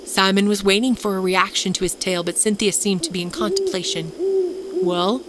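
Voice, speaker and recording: A woman reading a scripted dark horror story in a dramatic, suspenseful storytelling manner. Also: medium pitch, lively intonation, fast, heavy background noise. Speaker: storyteller, podcast narrator. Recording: music bed under the voice. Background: music.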